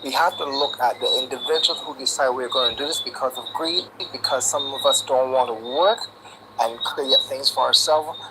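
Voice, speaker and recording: A man's voice: mid-range voice, moderate pace. A man of sixty-three with a Barbadian accent, speaking earnestly and emphatically as a caller to a live discussion. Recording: very noisy call with clicks.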